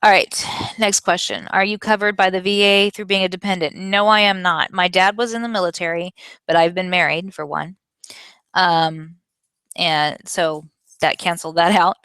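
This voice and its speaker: Low-pitched, clear-voiced woman answering questions. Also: fairly even intonation, moderate pace, a bit bright, no room sound. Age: 40s. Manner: candid, explanatory, self-correcting. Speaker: vlogger answering viewer questions. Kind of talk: casual question-and-answer talk.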